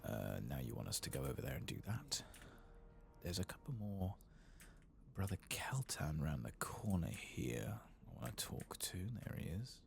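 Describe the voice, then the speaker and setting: A man giving soft-spoken ASMR commentary while gaming, murmuring and drifting into whispers. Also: low-pitched, wildly swooping pitch, normal speed, vocal fry, slight background noise. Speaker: gaming streamer, ASMR host.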